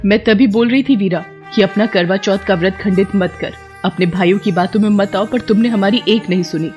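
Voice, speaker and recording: A scolding sister-in-law from a storybook tale, voiced by a woman narrator. She speaks reproachfully at a middling pitch. Soft music runs behind the voice. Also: fairly quick, lightly acted.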